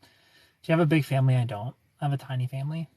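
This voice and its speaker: A man's voice: medium pitch, very fast, dark tone, no echo. Laid-back man chatting on a livestream. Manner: smooth, laid-back, greets viewers by name. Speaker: livestream host. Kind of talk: casual livestream chat reading comments.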